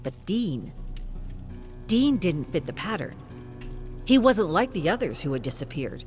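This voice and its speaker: A narrator reading a dramatic scripted story in a tense, deliberate way. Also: medium pitch, sing-song. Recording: music behind the voice. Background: background music.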